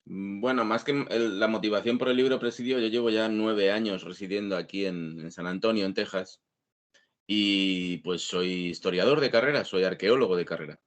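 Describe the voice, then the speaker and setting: Deep-voiced man with a Spain accent. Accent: Spanish (Spain). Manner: discursive, halting, repeats words as they think. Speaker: guest author, historian and archaeologist. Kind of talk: explanatory interview talk.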